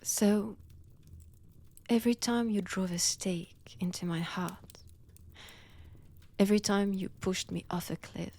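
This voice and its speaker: Breathy, slow French-accented female vampire acted by a woman. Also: medium pitch, darkish tone. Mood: hurt, disbelieving. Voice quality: breathy, often dropping into whispers. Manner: slow, deliberate, theatrical with long pauses. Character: centuries-old female vampire, wounded, obsessive, menacing yet tender. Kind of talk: intimate dramatic roleplay audio.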